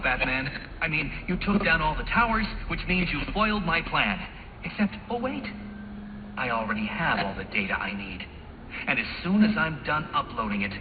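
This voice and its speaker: Smug villain over a tinny radio. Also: male voice, on the high side, background noise. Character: smug intellectual male villain, condescending schemer and information broker. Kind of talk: video game voice acting. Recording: tinny band-limited comms line, music behind.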